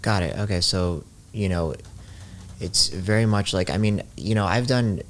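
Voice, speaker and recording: A man's voice: low-pitched, moderate pace, slight background noise. A man hosting a casual interview, conversational and rephrasing things to check he understands. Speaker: interviewer, host.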